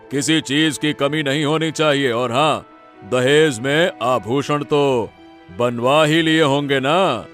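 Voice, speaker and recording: A man's voice: medium pitch, fairly bright tone. An actor voicing a stern, proud village headman in a cartoon moral story, speaking in an admonishing, self-important way.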